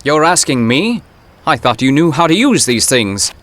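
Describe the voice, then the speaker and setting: Man acting a pompous, animated professor, highish voice. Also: moderate pace. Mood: musing. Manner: florid, formal, theatrical wording. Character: pompous, bewildered bookish professor out of his own time. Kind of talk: comic audio drama acting.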